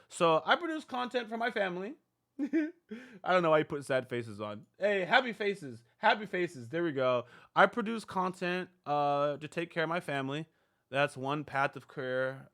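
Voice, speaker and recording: A man's voice: a little high, pushed and bright. A man hosting a reaction channel, talking casually to viewers in a rambling, self-deprecating way with many fillers. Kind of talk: casual talk to camera.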